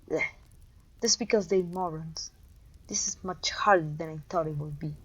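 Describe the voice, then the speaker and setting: A woman's voice: fairly low pitch, poor audio. A woman playing a haughty, domineering evil queen and sorceress in a scripted roleplay audio, imperious, scornful and theatrical. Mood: scornful, exasperated. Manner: imperious, scornful, theatrical, with sighs and disgusted groans.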